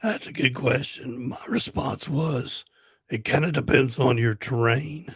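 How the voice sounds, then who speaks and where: A man co-hosting a relaxed talk show with a hoarse, very husky voice, worn down by a sore throat. His tone stays laid-back and joking, and it comes through dry and close to the mic. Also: medium pitch, measured pace, no room sound. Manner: laughing, swearing, laid-back. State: hoarse, lost voice. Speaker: co-host. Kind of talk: casual podcast chat.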